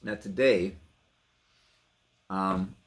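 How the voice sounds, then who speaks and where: A man's voice: deep voice, drawn-out words. A middle-aged man giving a casual personal introduction to a reading, with emotive, theatrical emphasis. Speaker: poetry reader and trained actor.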